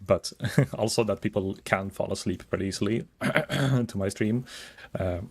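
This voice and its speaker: A calm, soft-spoken man chatting casually while streaming a game, rambling and thinking aloud with many fillers. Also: lowish voice, a little slow, slightly rough. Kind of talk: casual gaming stream chat.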